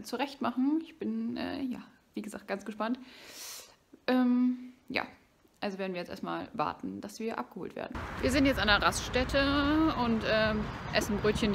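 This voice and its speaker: Young girl vlogging, chatty n rambling, normal pitch, bit of noise behind her. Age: young adult. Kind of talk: casual vlog chat. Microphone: phone or camera at arm's length. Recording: noisy handheld vlog audio.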